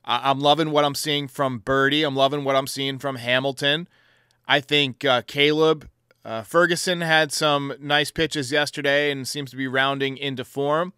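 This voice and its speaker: Bright, clear male sports show host. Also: mid-range voice, fairly even intonation, normal speed, no echo, clean studio sound. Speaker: host of a baseball voicemail show. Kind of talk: sports commentary answering callers.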